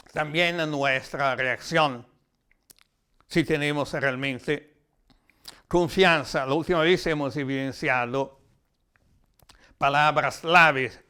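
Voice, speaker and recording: A man with an Italian accent giving a reflective, explanatory talk in a language that is not his native one, sometimes halting as he searches for words. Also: on the high side, pushed and bright, smooth. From Italy. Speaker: lecturer.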